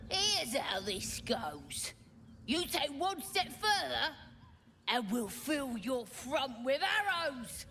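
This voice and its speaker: A woman's voice: high voice, pushed and bright, exaggerated voice. A woman voicing a cocky goblin sentry in a video game, barking threats in a nasal.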